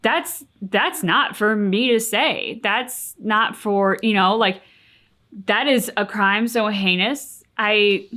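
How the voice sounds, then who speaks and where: A woman's voice: medium pitch, takes it slowly, sharp tone. A woman co-hosting a reaction channel, talking casually and reflectively in an earnest, articulate, emphatic way.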